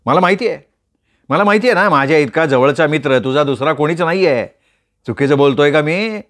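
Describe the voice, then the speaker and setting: A man voicing a shy, earnest young man in love, talking in a hesitant, low-key way in a read-aloud story. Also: brisk, a bit bright, close to an everyday voice. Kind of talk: storytelling with voiced characters.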